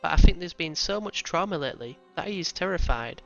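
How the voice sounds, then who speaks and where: A man's voice: fairly high pitch, animated, fairly quick, vocal fry, pushed and bright, soft background music, exaggerated voice. A man playing a bold young lieutenant who is earnest and persuasive, on a dull lo-fi recording. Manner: earnest, rousing speech-giving.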